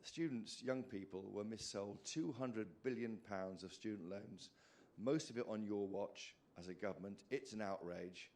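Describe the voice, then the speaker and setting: A man working as a reporter questions a politician at a press conference. His voice is on the low side, and his delivery is pointed and challenging. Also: moderate pace, darkish tone. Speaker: journalist.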